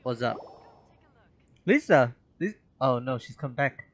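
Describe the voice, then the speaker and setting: Animated male game streamer. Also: medium pitch, takes it slowly, a little hiss or hum. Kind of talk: casual live gameplay commentary. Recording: game music clearly behind.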